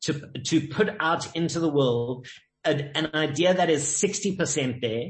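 A man's voice: on the high side, measured pace. A man talking as an interview guest, confident and emphatic, driving points home with sayings and stories. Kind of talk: motivational interview talk. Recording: echoey room.

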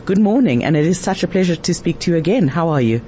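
A man's voice: a little high, lively intonation, quick pace, mellow. A man hosting a conversational news interview, putting questions to a guest. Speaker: interview host. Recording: faint background noise.

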